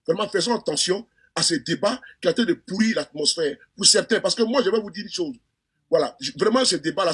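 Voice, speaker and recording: Fervent husky Ivorian man, quick and high. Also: fairly bright tone. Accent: Ivorian French. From Côte d'Ivoire. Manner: fervent, repetitive, many restarts and fillers. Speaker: political commentator. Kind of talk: impassioned political talk.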